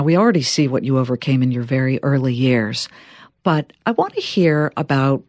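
A woman hosting an interview and asking lively, curious questions. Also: extremely low pitch, no echo. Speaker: host.

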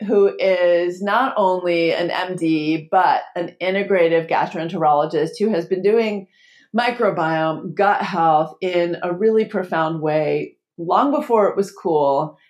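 A woman's voice: fairly low pitch, darkish tone. A woman hosting a podcast, introducing her guest with enthusiastic, effusive praise. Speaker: podcast host.

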